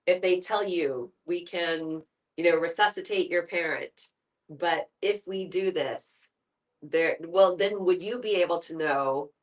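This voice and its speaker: A woman's voice: fairly low pitch, unhurried, rough recording. A woman tentatively asking questions in a group discussion, searching for her words.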